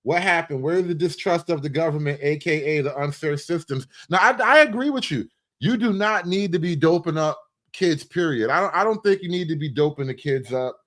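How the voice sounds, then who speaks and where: Animated man riffing in a higher voice. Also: a little fast. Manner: animated, profane, mock-enthusiastic, repeats phrases for emphasis. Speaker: livestream host and commentator. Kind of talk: casual satirical riffing commentary.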